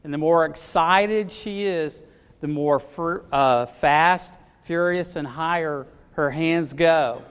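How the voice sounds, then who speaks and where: A man preaching a sermon in a loud, expressive way, often pausing with fillers. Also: on the high side, smooth. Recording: long hall reverb.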